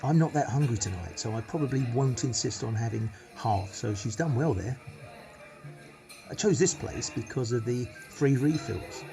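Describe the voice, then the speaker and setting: Over music, an actor plays a British man vlogging his thrifty life in a radio play. He is pleased with his own penny-pinching and talks to his viewers in a chatty, matter-of-fact way, at a medium pitch. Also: expressive, normal speed, natural voice. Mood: smug, matter-of-fact. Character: penny-pinching, self-satisfied male money-saving vlogger. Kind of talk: radio play monologue as a vlog.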